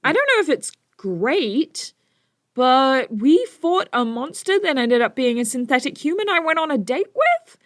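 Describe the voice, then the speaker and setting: Woman doing a nerdy teen superhero for an audio drama, friendly, very bouncy pitch, dry sound. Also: sing-song, unhurried, fairly bright tone, no room sound, full character voice. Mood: chatty, nerdy. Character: brainy young teenage superhero, nerdy, friendly, self-assured.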